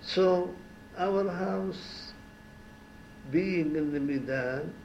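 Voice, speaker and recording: Slow, gravelly older man, reminiscing. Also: sits high, a little mellow, echoey room, lo-fi sound, slight background noise. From Syria. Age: 70s. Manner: slow, reflective, pauses and restarts. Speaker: former ambassador, interviewee. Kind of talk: oral history interview. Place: home.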